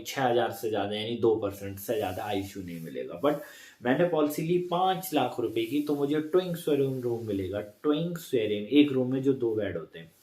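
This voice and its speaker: Man explaining in an echoey room. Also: mid-range voice. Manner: chatty, repeats points, corrects himself mid-sentence. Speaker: insurance advisor vlogger. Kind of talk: casual explainer to camera.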